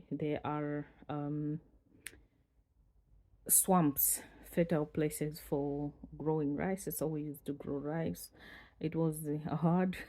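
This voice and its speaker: A Malawian woman in her forties from the north of the country, telling her own life story in an unhurried way with many pauses and fillers. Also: low-pitched, mellow. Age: 40s. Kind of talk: reflective personal storytelling.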